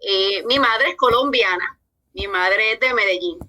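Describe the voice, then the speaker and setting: A woman with a Puerto Rican accent, a social worker guest talking about her life in a podcast interview, earnest and measured, with frequent "eh" pauses. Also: mid-range voice, sharp tone. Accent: Puerto Rican Spanish. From born in New York, raised from age eleven in Puerto Rico.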